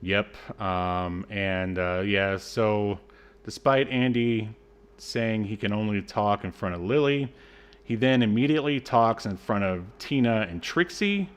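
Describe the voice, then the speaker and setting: Casting: male podcast co-host, lower-pitched voice, casual movie-review banter, halting and wryly exasperated delivery. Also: clear, resonant tone. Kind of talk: casual film review chat.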